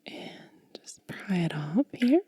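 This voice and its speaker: A woman whispering in soft-spoken ASMR style, gently and unhurriedly explaining each step close to the microphone. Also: lowish voice, sing-song, slow. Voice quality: breathy whisper. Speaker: ASMR content creator.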